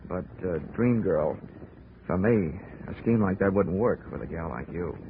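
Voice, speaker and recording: A man's voice: sits low, lively intonation, moderate pace, a little hiss or hum. An actor playing a wry, wisecracking insurance investigator who narrates his own case in a 1940s radio drama. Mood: flirting. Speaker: narrator and lead detective. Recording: tinny 1940s radio broadcast with music.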